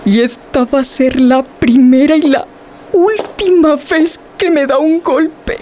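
A woman's voice: high voice, slow pace, warm tone, slight background noise. An actress playing a proud, hurt young wife and mother in a radio drama, emotional and defiant. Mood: tearful, bitter.

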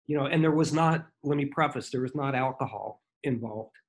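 A man's voice: mid-range voice, a little fast. A man in his sixties, a podcast guest, telling self-deprecating stories in casual chat, with many fillers and restarts. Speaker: guest, franchise consultant. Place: home.

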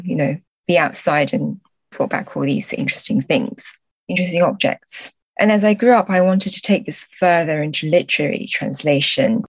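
A woman's voice: deep voice, no room sound. A middle-aged woman from China who grew up in the UK, giving thoughtful, explanatory answers as a translator in an interview. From born in Guangzhou, China, moved to the UK at a young age. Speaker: podcast guest, literary translator.

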